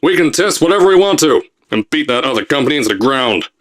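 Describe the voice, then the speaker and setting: A man's voice: mid-range voice, animated, quick pace, lightly acted. An actor playing a brash, blustering male company boss recording messages for test subjects in a game. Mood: ranting. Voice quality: loud, boastful salesman bluster. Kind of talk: pre-recorded announcement messages.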